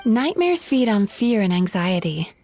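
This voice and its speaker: A woman playing a calm, studious young healer in a video game, speaking gently and thoughtfully as she explains. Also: medium pitch, measured pace, faint music behind. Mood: explanatory, serious. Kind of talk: video game cutscene acting.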